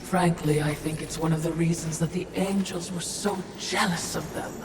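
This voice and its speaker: A woman voicing a clever, wary spirit servant in a video game, deferential to the mistress yet gently chiding. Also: lowish voice, fairly even intonation, moderate pace, noisy background, natural voice. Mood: wistful. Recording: echoey, distant, loud music behind.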